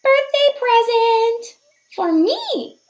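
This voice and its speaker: A woman's voice: very high voice, expressive, drawn-out words, darkish tone, pitched above the performer's own voice, full character voice. A woman voicing a nervous, fussy little squirrel from a children's picture book, careful and awkwardly over-polite. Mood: delighted.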